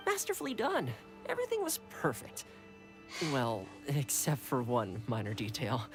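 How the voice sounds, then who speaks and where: A woman's voice: extremely low pitch, huge pitch swings, moderate pace, full character voice. A woman voicing a loyal, easygoing retainer to a noble lord in a video game, wry and familiar. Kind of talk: game voice acting. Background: game music.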